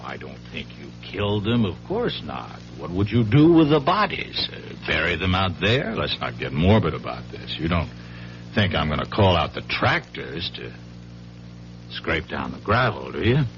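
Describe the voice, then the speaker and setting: An actor playing a brisk, folksy, shrewd police chief in an old radio drama. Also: male voice, deep voice, huge pitch swings, exaggerated voice. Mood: wry, probing. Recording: muffled old radio, loud storm noise.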